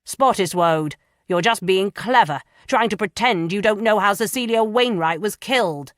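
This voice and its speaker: A woman's voice: low voice, slow pace, no room sound, studio quality. A woman voicing a pompous, testy male magistrate who browbeats and bellows. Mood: bellowing.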